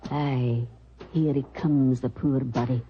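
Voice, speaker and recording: A woman's voice: a bass voice, fairly even intonation, slow pace, slight background noise, heavily acted. A woman playing a grimly superstitious Scottish housekeeper, solemn and foreboding, in a 1940s radio drama style. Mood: ominous. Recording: muffled old radio recording.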